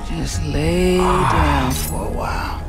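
A sardonic tough western gal for a game, talks slow, loud music behind. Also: female voice, low voice. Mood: dry, frank. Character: wry, world-weary frontier woman, plain-spoken and tough. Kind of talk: video game cutscene acting.

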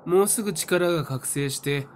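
A man's voice: on the high side, moderate pace, a little hiss or hum, natural voice. A man acting a cynical young seer in an audio drama, nonchalant, flippant and coolly taunting. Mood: matter-of-fact.

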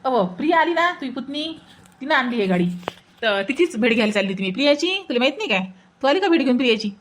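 A woman's voice: high-pitched, sing-song, fast, slight background noise. A woman voicing a blunt, indignant village neighbour in a comic cartoon, scolding in a Varhadi Marathi accent. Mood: curious. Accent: Varhadi Marathi from Vidarbha.

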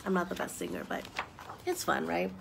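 A woman in her thirties reading a picture book aloud to young children in an animated way, with chatty asides and questions, recorded outdoors on a deck at about arm's length. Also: lowish voice, brisk. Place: outdoor wooden deck with trees around. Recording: noisy outdoor home video. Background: clear outdoor ambient noise.

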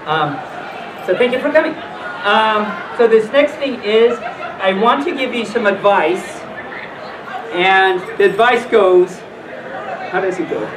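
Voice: medium pitch, animated, measured pace, pauses often, crowd noise. A storytime host reading aloud to a live audience in a playful way, with casual asides. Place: hotel function room at a convention. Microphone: distant camera microphone, speaker in a full mascot costume. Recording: roomy, distant, noisy camera audio.

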